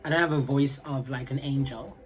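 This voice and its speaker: A man's voice: mid-range voice, fairly even intonation, fairly quick, faint music behind, poor audio. A young man with a South African accent, chatting casually to camera in a rambling way full of fillers. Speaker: vlogger.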